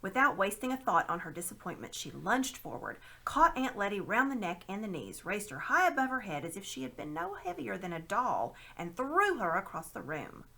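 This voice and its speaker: Expressive woman storyteller, very animated. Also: mid-range voice, normal speed, vocal fry, hardly any pauses. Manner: dramatic, expressive. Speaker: storybook reader. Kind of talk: expressive read-aloud of a children's novel.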